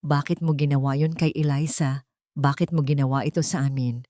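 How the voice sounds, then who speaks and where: A woman's voice: low-pitched, steady tone, a little fast, dark tone, no echo, clean studio sound, close to an everyday voice. A woman voicing an anguished grown son who questions his mother in a pained, accusing way, in an audiobook. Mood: hurt, accusing.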